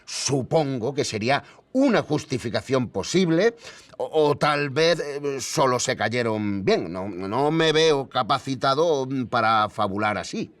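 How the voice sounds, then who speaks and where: Literal-minded Spanish expert, lively intonation, halting and measured, audio documentary, dry close recording. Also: male voice, medium pitch, no room sound. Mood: cautious, factual. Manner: hesitant, measured, stammering repeats. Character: cautious, literal-minded male historian and technology expert.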